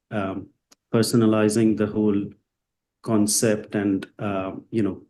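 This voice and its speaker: Indian-born man, Hindi first tongue, interviewee; low warm tone, hesitant, slight noise floor. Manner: hesitant, many fillers and restarts. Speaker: psychiatrist and company founder. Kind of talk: interview answers.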